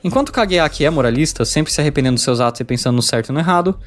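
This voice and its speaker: A man's voice: on the high side, animated, racing. A man with a Brazilian accent reading a scripted video review.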